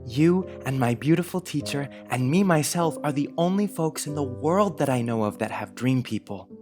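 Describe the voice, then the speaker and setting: A man voicing an earnest, dreamy boy of about eleven in a storybook reading, speaking gravely and confidingly. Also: fairly high pitch, animated, normal speed, music in the background, natural voice. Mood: earnest, eager. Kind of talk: dramatized audiobook character reading.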